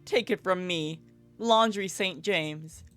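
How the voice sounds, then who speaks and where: A woman's voice: fairly low pitch, slow, a bit bright. Visual novel lead, read by a woman: jaded young female artist, dramatic and emphatic, light music underneath. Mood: bitter, resigned.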